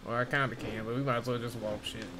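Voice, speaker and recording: A man's voice: medium pitch, fairly even intonation, quick pace, noisy background. A man giving casual gameplay commentary, slangy and profane. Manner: slangy, profane, reacts to the characters. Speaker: video game streamer. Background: game music.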